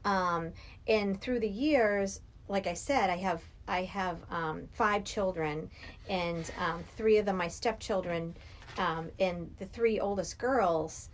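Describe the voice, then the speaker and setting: A woman's voice: lowish voice, slow, a little hiss or hum, low-quality recording. A middle-aged woman chatting casually as a podcast guest, telling anecdotes and voicing what people said. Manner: anecdotal, acts out quoted dialogue. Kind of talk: casual film discussion.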